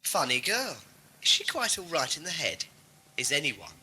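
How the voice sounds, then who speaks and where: A sarcastic young Earthman companion in a space adventure audio play, played by a man. His voice is bright with a lively, swinging pitch, and he questions everything sceptically. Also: normal speed, slightly rough, heavily acted, rough recording. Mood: urgent, puzzled. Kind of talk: science fiction audio drama acting.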